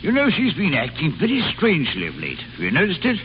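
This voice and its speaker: A fretful, blustering aristocrat in an old radio comedy. His voice leaps up and down excitably. The recording is muffled with a faint hiss. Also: on the high side, fast talker. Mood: curious, knowing. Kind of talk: 1930s-40s American radio comedy acting.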